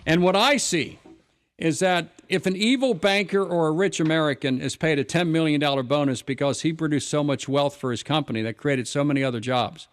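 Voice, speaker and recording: A man's voice: fairly high pitch, no echo, studio quality. A man hosting a talk radio show, blunt and combative, interrupting and rattling off facts.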